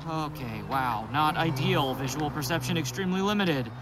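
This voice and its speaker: A man's voice: a little high, background noise, lightly acted. An actor in a naturalistic audio drama playing a dazed, nervous man who mutters fragments as he narrates his own psychedelic experiment. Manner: halting, muttering fragments to himself.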